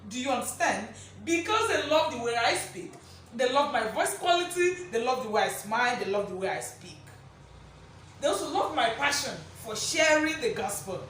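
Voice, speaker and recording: A woman with a loud, projecting voice giving a motivational teaching talk, emphatic, repeating key phrases and putting rhetorical questions to listeners. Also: high voice, lively intonation, normal speed. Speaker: motivational speaker and presenter. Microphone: distant camera mic, no microphone on speaker. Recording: echoey room, distant mic, faint noise.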